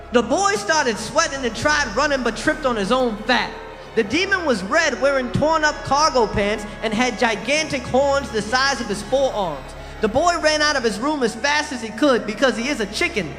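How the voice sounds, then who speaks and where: A man's voice: very high-pitched, animated, normal speed. A man narrating a scripted storybook-style tale as its narrator. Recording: loud music under the voice.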